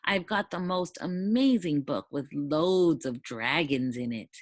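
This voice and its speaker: Woman as a lively, gentle young storybook knight. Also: low-pitched, measured pace, no echo, studio quality. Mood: cheerful, persuasive. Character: gentle, bookish young male knight, kind and polite. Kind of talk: children's storybook read-aloud.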